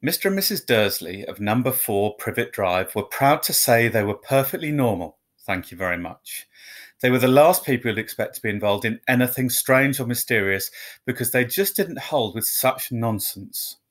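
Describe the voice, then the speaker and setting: A man with a medium-pitched voice reads a storybook aloud for young listeners. His delivery is expressive and measured, leaning on key words for dramatic emphasis. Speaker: storyteller reading aloud to students. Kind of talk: expressive children's storybook reading.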